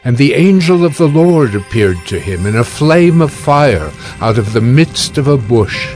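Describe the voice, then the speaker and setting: A man narrating scripture from a script in a measured, formal storytelling manner. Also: medium pitch, lively intonation, a little mellow, faint music behind.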